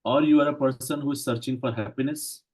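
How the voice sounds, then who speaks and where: A man gives a lecture in in short, measured phrases and stops after each one so it can be interpreted. His voice is husky and a little rough, pitched in an ordinary middle range. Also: steady tone, darkish tone. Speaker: Ayurveda lecturer. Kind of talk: lecture in English, interpreted consecutively.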